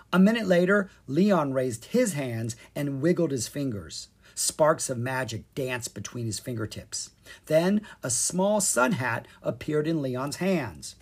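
This is a storyteller reading a children's book aloud. The delivery is expressive and full of lively pitch movement. The voice has a slightly husky grain. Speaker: storyteller, podcast reader. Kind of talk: scripted narration of a children's storybook.

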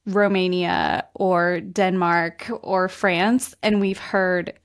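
A woman's voice: lowish voice, fairly even intonation, takes it slowly. A woman from West Virginia, a guest in a casual interview, friendly and modest, laughing easily. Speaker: guest, entrepreneur.

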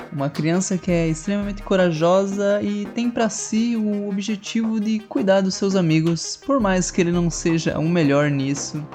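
A woman's voice: mid-range voice, mellow. A woman player introducing her character in an upbeat, friendly way. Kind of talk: casual scripted introduction. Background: faint music.

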